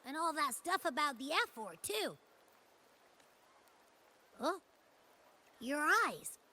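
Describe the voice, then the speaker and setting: Bright, high-pitched little companion. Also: female voice, sing-song, slow pace, full character voice. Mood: startled, curious. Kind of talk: animated video game voice acting.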